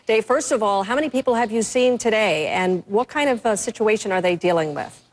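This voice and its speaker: A woman's voice: mid-range voice, brisk. A woman, a news anchor reporting and interviewing live.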